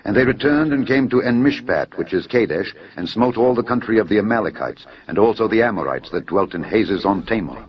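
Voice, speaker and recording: A man giving a dramatised scripture narration in a solemn, measured storytelling manner. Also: on the low side, animated. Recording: dull lo-fi audio under music. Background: background music.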